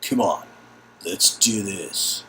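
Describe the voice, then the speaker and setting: A man's voice: low voice, slow, pushed and bright, a little hiss or hum, pitched below the performer's own voice, exaggerated voice. A man acting a loyal, earnest male friend in a dialogue reading of an adventure story game. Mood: earnest, determined.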